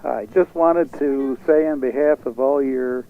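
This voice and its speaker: A man's voice: mid-range voice, measured pace. A man calling in to a radio show for a casual chat, heard over a dull lo-fi line. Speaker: caller.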